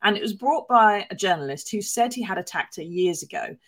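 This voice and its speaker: A woman's voice: mid-range voice, animated, brisk, a bit bright. Woman news podcast co-host, chatty and wry, medium voice. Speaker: podcast co-host, newspaper columnist. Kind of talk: casual news chat.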